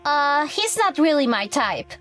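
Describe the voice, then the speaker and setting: The catty girl at the bully's side in an animated campus drama, high and scornful, acted by a woman, soft music behind her. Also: measured pace, full character voice. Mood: mocking disbelief. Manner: scornful, exclaiming. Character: catty young woman joining in the mockery. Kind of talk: anime-style story dub acting.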